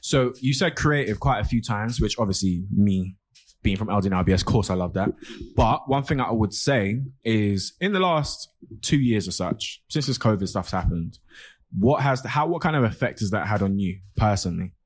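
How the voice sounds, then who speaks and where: A man interviewing a guest, quick and encouraging, with frequent "yeah" replies. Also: lowish voice, lively intonation. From West London. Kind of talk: casual interview chat.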